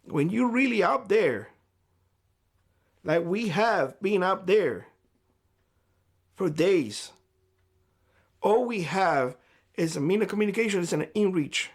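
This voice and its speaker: A man speaking straight to camera in a vlog, giving blunt, lecturing advice in a hoarse voice. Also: sits high, lively intonation, slow, pauses often. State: hoarse, losing his voice. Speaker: prepper YouTuber. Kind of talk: direct-to-camera vlog monologue. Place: homestead.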